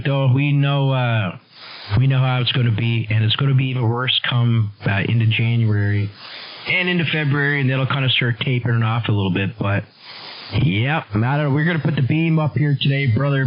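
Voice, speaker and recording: Over a dull radio signal with faint hiss, a North American man chats casually. His pitch is average, and his talk is matey and full of easygoing slang. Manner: laid-back slang, matey. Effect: radio transmission. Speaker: radio operator. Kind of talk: casual chat.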